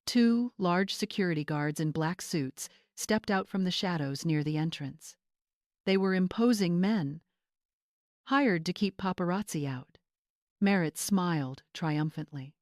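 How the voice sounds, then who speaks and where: A woman with a slightly low voice narrates dramatic fiction. Her delivery is measured and suspenseful, and the recording is dry and close. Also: lively intonation, a little mellow, no echo. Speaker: story narrator.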